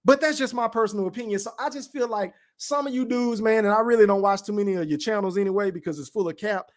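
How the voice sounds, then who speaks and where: A man's voice: sits high, fast talker. A man from Dallas-Fort Worth with a Texan accent, hosting a podcast livestream, reading the chat and giving blunt, profane opinions. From Texas, Dallas-Fort Worth. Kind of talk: casual livestream rant.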